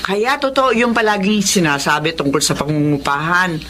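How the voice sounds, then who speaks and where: An actress playing an older, warm-hearted mother of a grown son in a Filipino radio drama. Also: on the low side, moderate pace, a little hiss or hum, lightly acted. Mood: pleased, reflective.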